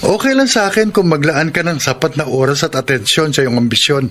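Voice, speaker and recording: A man acts out a young Filipino husband who pleads and demands. His voice is high and aggrieved, in a melodramatic radio drama style, with faint noise behind it. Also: fairly quick, a bit bright, lightly acted. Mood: resentful.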